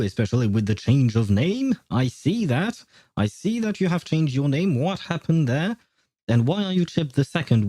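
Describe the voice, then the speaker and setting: A man's voice: medium pitch, warm tone, no echo. A man hosting a livestream, chatting casually with viewers in a hesitant, repetitive way. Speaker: livestream host.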